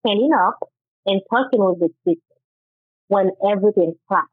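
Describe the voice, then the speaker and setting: Lady telling her story, halting and earnest, thin phone-line sound, dry. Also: medium pitch, breathy edge, dry recording. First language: Haitian Creole. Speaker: guest author. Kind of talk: interview, personal testimony.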